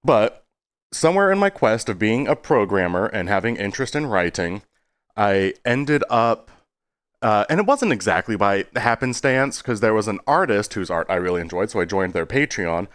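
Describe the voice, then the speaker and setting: A man's voice: lowish voice, very animated, moderate pace, a bit bright, no echo, studio quality. A man giving a casual, rambling video update in a self-deprecating way, stopping and starting and slipping into exaggerated tones.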